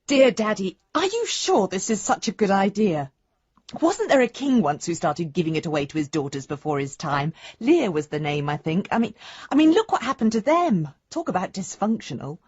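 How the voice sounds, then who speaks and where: A woman's voice: medium pitch, very animated, normal speed, husky, no room sound, lo-fi sound. An actress playing a concerned, affectionate grown daughter in a radio drama, chattily reading out a letter to her father. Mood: fond concern. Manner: chatty, gently questioning.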